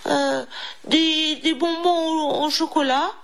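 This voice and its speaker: Woman doing a high-pitched, timid little mouse. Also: slow. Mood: frightened, stammering. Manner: pleading, stammering, eager to please. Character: small timid, pleading, eager female mouse. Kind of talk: children's storybook character voice.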